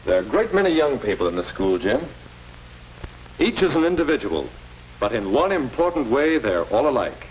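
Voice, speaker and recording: An actor playing a calm, firm middle-aged school principal in a 1940s educational film, speaking formally and in an avuncular, measured way. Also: male voice, mid-range voice, close to an everyday voice. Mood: calm, earnest. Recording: muffled, noisy old 1940s film soundtrack.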